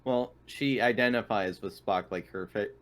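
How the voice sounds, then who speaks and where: A man's voice: lowish voice, moderate pace. A man chatting casually as a player in a tabletop role-playing game. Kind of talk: casual chat.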